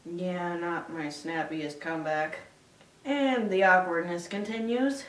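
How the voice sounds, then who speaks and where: A woman playing a sarcastic, awkward young Viking man in a dry, deliberate film-style recitation. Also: fairly low pitch, slight background noise. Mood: dry, resigned. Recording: echoey room, distant mic.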